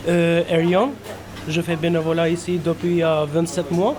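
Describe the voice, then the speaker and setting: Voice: mid-range voice, monotone, normal speed, a crowd in the background. A kitchen volunteer answering in short, simple, broken French phrases. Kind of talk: interview answers. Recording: noisy room, speaker off mic.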